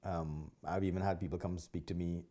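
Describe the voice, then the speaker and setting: Deep-voiced Trinidadian man, mellow tone. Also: steady tone. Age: 40s or 50s. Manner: polite and unhurried, with frequent ums and restarts. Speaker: guest, martial arts instructor. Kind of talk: casual interview.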